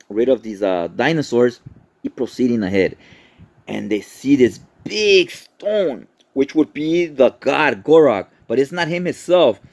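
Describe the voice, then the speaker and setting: A man's voice: mid-range voice, darkish tone, no echo. A man recapping a story in a casual, conversational style, as a host chatting to listeners. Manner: informal, conversational, checks in with "right?". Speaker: host, comic book recapper.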